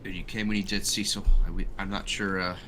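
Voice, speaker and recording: Security sergeant, played by a male gamer: deep, curt, earnest, halting, tabletop game, noisy recording. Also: little pitch movement, natural voice. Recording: clear room noise.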